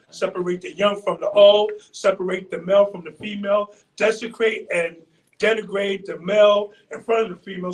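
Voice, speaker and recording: A man, speaking as a panelist in a loud, preacherly way and repeating phrases for emphasis, picked up from a distance. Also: high voice. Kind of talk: impassioned community panel speech.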